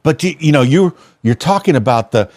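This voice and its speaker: Podcast host guy, rambly and excited, dry close mic sound. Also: on the high side, a little fast, dry recording. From Miami. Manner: rambling, many fillers and restarts, enthusiastic. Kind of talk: casual interview chat.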